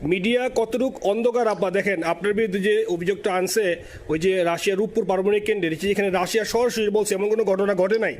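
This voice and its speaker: A man's voice: sits high, steady tone, fast. A man from Bangladesh on a phone call, an eager, deferential party supporter calling from abroad. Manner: deferential, eager, keeps saying yes sister. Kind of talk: phone conversation.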